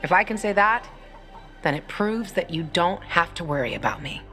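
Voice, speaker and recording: A woman's voice: fairly low pitch, expressive, moderate pace, sharp tone. A woman playing a tough, candid young fighter with a wry, guarded manner in a video game cutscene. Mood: candid, reflective. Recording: music behind the voice.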